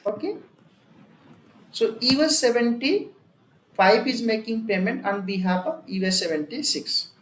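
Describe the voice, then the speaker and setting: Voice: mid-range voice, expressive, a little slow, long pauses. Echoey Indian-accented instructor, sex unclear, teaching step by step. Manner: repetitive, emphatic step-by-step explaining with frequent check words. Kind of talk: software and accounting tutorial, explaining a worked example. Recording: echoey room with faint background noise.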